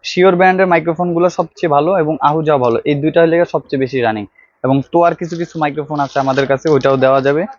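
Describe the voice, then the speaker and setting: A man with a Bangladeshi Bengali accent, a shop salesman showing products to viewers in a loose, repetitive sales pitch full of filler sounds, on a clip-on mic. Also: steady tone, rapid-fire, highs cut off. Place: computer accessories shop. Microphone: clip-on tie microphone.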